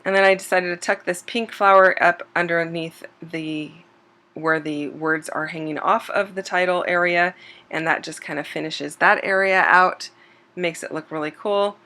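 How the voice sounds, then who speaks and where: Low-voiced woman, a bit hoarse. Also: moderate pace. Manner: relaxed and chatty, with frequent um fillers and self-corrections. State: slightly hoarse, losing her voice. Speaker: scrapbooking channel host. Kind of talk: casual voice-over narration of a craft process video.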